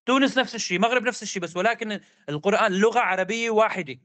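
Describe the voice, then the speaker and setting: A man's voice: sits high, a bit bright, no room sound. A man arguing hard in a religious debate, insistent and repetitive. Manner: rapid, insistent, argumentative, repeats demands.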